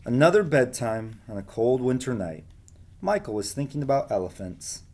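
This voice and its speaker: A man's voice: medium pitch, very animated, moderate pace, darkish tone. A man, a schoolteacher, reading a picture book aloud and chatting casually to his students.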